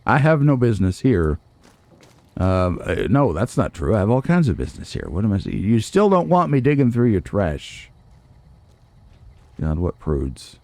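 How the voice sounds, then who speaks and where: A man's voice: fairly low pitch, sing-song, moderate pace, a little mellow. A man giving casual, playful gameplay commentary, talking back to characters in a theatrical way. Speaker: let's play host.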